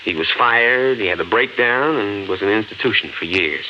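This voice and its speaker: Man acting a calm reassuring husband, low male voice, measured, vintage radio drama, faint hiss. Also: natural voice. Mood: explaining. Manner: measured, explanatory. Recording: dull, lightly hissy 1950s radio recording.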